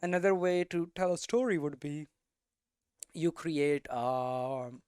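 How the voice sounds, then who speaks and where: A man's voice: on the high side, takes it slowly, darkish tone, smooth, no echo, studio quality. A man giving an informal lesson, thinking aloud conversationally and often checking in with tags like "right?". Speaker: game development streamer and teacher.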